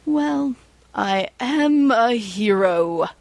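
A woman voicing a vain, cocky male superhero from a story, with drawn-out, self-important delivery. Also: on the high side, lively intonation, creaky, darkish tone, no echo, heavily acted. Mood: self-pitying.

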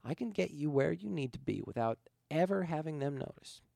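Guy doing a dead pilot's ghost for an audiobook, earnest n ominous, pleading, lively higher voice, bit creaky. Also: fairly quick, no echo, clean studio sound. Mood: confident, reassuring.

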